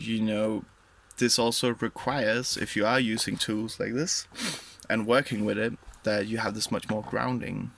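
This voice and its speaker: Slow, gravelly-voiced man from Denmark. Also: on the low side, a bit bright. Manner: hesitant, many fillers and trailing pauses. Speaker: vlogger. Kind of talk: rambling reflective monologue.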